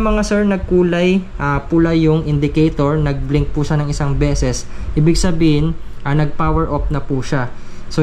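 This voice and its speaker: A man's voice: on the high side, slight background noise. A man giving a casual, polite step-by-step product tutorial as a gear reviewer. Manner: polite, addressing viewers respectfully.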